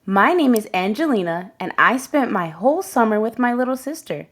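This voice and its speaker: A woman's voice: fairly high pitch, sing-song, fairly quick, voice pushed up. Woman as an earnest young storybook schoolgirl. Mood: brave, proud. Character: earnest young schoolgirl, shy but growing braver.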